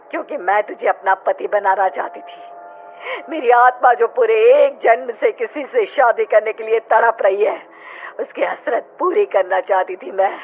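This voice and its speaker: A woman's voice: medium pitch, close to an everyday voice. A woman voicing a vengeful, menacing female ghost bride in a dramatic horror cartoon style. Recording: muffled audio with loud music bed.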